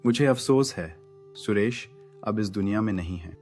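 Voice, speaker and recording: A woman voicing a reserved city man, speaking politely and hesitantly in a lowered voice over faint music. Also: animated, normal speed, darkish tone. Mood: sorrowful. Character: reserved city man delivering sad news.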